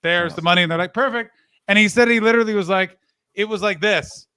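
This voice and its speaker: Bright, animated man from Canada. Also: high voice, fast, clean tone, no echo, studio quality. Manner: animated storytelling, swearing, acts out quotes. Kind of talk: casual chat.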